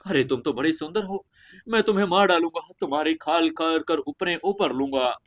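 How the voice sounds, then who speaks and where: A man's voice: on the high side, normal speed, dry recording, voice pushed up, close to an everyday voice. A man voicing a tall, bloodthirsty sea zombie who leers and gloats as he threatens in an audio story. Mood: gloating menace.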